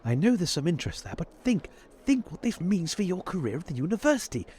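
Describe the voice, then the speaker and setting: A man's voice: high-pitched, big pitch swings, fast, darkish tone. A man playing an earnest, patronizing older university professor who speaks formally and hesitantly, repeating his words, in tabletop roleplay. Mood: concerned, pleading.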